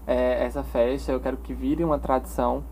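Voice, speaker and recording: A man's voice: mid-range voice, level tone, a little fast, mellow, slight background noise. A young man from Paraíba with a Northeastern Brazilian accent, a podcast guest who talks proudly and stumbles over his words with many restarts. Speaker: interview guest, page owner. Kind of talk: casual podcast interview.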